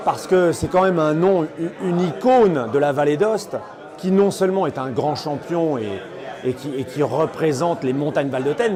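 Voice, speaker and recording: Effusive higher-voiced man amid crowd chatter. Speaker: stage and screen actor giving a testimonial. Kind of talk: spontaneous personal interview. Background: busy social gathering.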